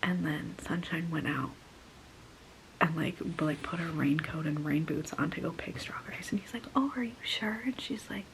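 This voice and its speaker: A woman's voice: low-pitched, moderate pace, a little hiss or hum, poor audio. A young woman telling a casual story in a mostly whispered voice, congested and sore-throated, sounding exasperated. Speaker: vlogger.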